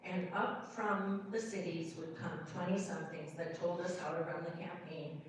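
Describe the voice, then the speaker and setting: A woman's voice: fairly low pitch, steady tone, moderate pace. Woman speaking in a very echoey room. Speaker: local political group founder. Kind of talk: unscripted remarks at town hall. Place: indoor town hall meeting room. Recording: distant, very echoey room, faint noise.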